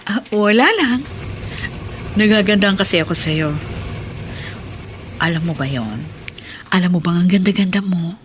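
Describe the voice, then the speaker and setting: An actress playing a kind, chatty and principled schoolteacher wife in a radio drama, speaking frankly and encouragingly. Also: female voice, on the low side, expressive, a little slow, pauses often, a little hiss or hum. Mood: friendly, admiring. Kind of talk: Filipino radio drama acting.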